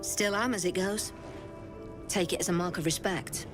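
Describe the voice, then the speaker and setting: An actress playing a blunt, threatening criminal boss, speaking in a businesslike way. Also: female voice, lowish voice, steady tone, moderate pace, music in the background, natural voice. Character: tough criminal gang boss woman.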